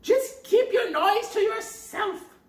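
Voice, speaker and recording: A woman voicing a greedy, impatient old witch in a storybook tale, with a shrill, bossy delivery. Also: takes it slowly. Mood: impatient, fierce. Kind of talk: storybook character voice. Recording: roomy sound, distant from mic.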